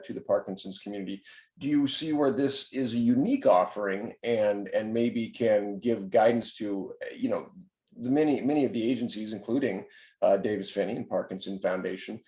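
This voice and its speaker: A man's voice: lowish voice, a little mellow, reverberant. A man running a program, interviewing a participant over a video call in a rambling way, with many fillers and restarts. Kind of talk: conversational interview.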